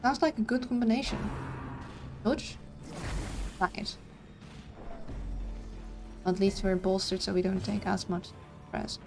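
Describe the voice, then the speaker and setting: Chatty woman streamer with vocal fry. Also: mid-range voice, slow pace, pauses often, over music. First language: Dutch. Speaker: game streamer. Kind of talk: casual live-stream commentary.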